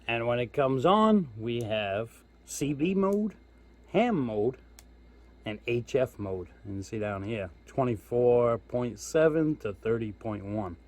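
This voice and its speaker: A man's voice: mid-range voice, very animated, slow pace, darkish tone. A man giving a casual hands-on product demo, explaining step by step in an informal, folksy way. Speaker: host of a radio gear review channel.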